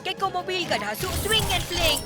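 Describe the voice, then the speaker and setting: A playful, earnest little boy hero with a very high, lively voice. He exclaims proudly and draws his words out, cartoon style, with music underneath. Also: quick pace. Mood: heroic, eager. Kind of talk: animated children's cartoon dub.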